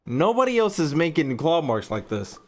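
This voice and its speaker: A man's voice: fairly high pitch, very animated, moderate pace. A man streaming a game with casual, blunt, joking commentary full of slang and swearing. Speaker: gaming streamer. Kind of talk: casual profane gameplay commentary.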